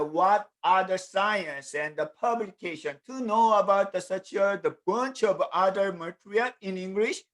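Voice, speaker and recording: A middle-aged man from South Korea, Korean by native language, teaching an online programming class in English with a Korean accent. He talks in halting bits, with many fillers and an often repeated "the". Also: sits high. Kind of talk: online class lecture over video call. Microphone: computer mic on a video call.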